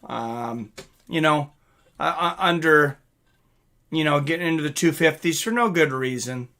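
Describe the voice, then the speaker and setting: Guy hosting a live stream, kinda high really bright voice, just chatting and reading comments. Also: lively intonation, unhurried. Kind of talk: casual live reaction chat, reading out viewer comments.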